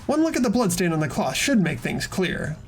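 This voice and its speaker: An earnest teenage student detective from a video game, acted out by a fan performer. The voice swings lively in pitch while deliberately explaining evidence, with music playing underneath. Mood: earnest, insistent. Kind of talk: scripted game dialogue read aloud by fans. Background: background music.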